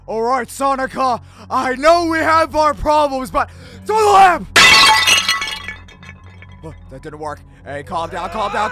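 A man's voice: extremely high pitch, exaggerated voice. A man voicing an edgy, brooding cartoon hedgehog who is easily panicked and exasperated, with a strained, melodramatic, stammering delivery. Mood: desperate shouting. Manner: melodramatic, repetitive yelling and stammering. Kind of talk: cartoon comic dub acting.

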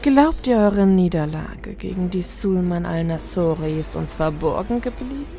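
A woman's voice: on the low side, animated, normal speed, creaky, warm tone, faint music behind. A woman playing a scornful, treacherous pirate captain, speaking in a mocking, pointed way in a fantasy story.

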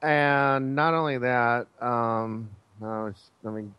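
A man telling a story casually as a guest, halting and searching for his words. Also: medium pitch, clean tone, lo-fi sound. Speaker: guest, air traffic controller.

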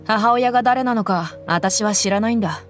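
Game mercenary, young woman, blunt and easygoing, rough casual delivery, actress. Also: mid-range voice, normal speed, faint music behind, natural voice. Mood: tough. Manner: rough, casual, relaxed speech.

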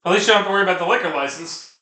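A man banters casually with friends in a quite high register. His voice is bright and cutting, with the echo of a living room around it. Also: very fast, recorded from a distance. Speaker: comedy show co-host.

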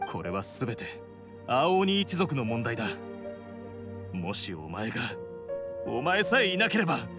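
A man's voice: mid-range voice, very animated, measured pace. An actor playing a stern, proud, self-sacrificing young oni man in a Japanese anime game, intense and curt. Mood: anguished. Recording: prominent music behind the voice. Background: dramatic game music.

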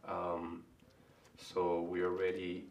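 A middle-aged man with a Venezuelan Spanish accent, answering interview questions in a thoughtful, hesitant way with many pauses and restarts. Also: deep voice, monotone, creaky, dull audio. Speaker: artist and college faculty member. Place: room in front of a large painting. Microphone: far camera. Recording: echoey room from far off, faint hiss.

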